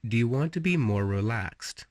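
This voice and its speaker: A man leading a guided relaxation meditation with storytelling, speaking slowly and soothingly in an instructive way with pauses. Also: mid-range voice, expressive, no room sound, clean studio sound.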